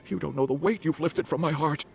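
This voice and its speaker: A man playing a chatty, sentimental travelling merchant in a video game, with an expressive theatrical manner. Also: a little high, fairly quick, gravelly, a little hiss or hum. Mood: grateful.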